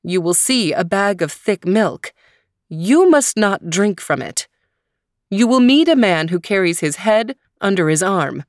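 A woman's voice: mid-range voice, lively intonation, measured pace, no room sound. An old folktale woman, put on by a female narrator, giving solemn counsel. She cautions in an instructive way, in a dry recording free of room sound. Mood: warning, solemn.

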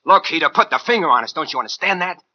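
A man's voice: on the high side, animated, fast. An actor playing an edgy, slangy American gunman in a 1940s radio crime drama, talking tough and clipped. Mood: agitated, defensive. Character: edgy, hard-boiled gunman narrating and bullying his girlfriend. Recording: muffled 1940s radio recording, faint music.

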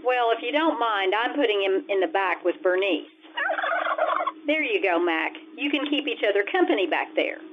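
A woman's voice: medium pitch, animated, moderate pace, background noise, natural voice. A woman playing a wry, wisecracking schoolteacher with a dry, sardonic delivery in an old-time radio sitcom. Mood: wry, amused. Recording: tinny, band-limited radio-style recording.

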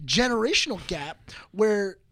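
A man's voice: sits high, animated, unhurried, pushed and bright, dry recording. A man co-hosting a casual podcast chat, arguing his point earnestly with many restarts. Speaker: podcast co-host.

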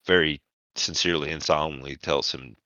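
A man narrating a tabletop roleplaying session as game master, in a halting, informal storytelling manner. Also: rumbling low, fairly even intonation, bright, forceful tone, dry recording, muffled recording.

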